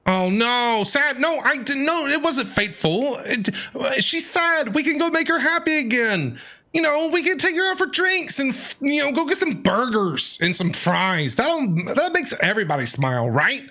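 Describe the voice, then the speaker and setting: Bright, animated game streamer. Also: high-pitched, normal speed. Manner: animated, playful, chatty. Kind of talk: casual game playthrough commentary. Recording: game music behind.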